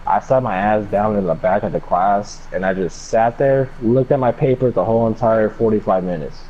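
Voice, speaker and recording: A man's voice: lowish voice, normal speed, mellow. A young man telling a personal story casually in a laid-back, slangy way with some swearing. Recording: dull lo-fi mic with background noise.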